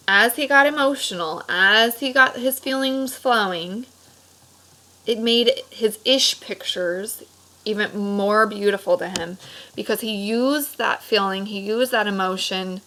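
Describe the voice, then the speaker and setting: A woman with a mid-pitched voice talks to young pupils as their teacher. She explains things in simple phrases, poses questions and often checks that they follow. Her manner is encouraging throughout. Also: a bit bright, poor audio. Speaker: elementary school teacher. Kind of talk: read-aloud discussion lesson for children.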